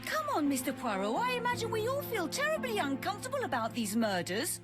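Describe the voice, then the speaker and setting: A woman playing a blunt, sharp-tongued young woman in a detective video game, direct and challenging. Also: very high-pitched, wildly swooping pitch, brisk, over loud music, full character voice. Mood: guarded, disapproving.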